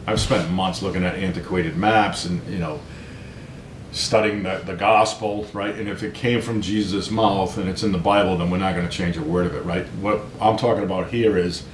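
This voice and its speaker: Deep voiced guy being interviewed, emphatic and conversational, echoey, bit of rumble behind. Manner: earnest, emphatic, checks in with "right?". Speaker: author, interview guest. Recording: roomy echo, low rumbling background noise.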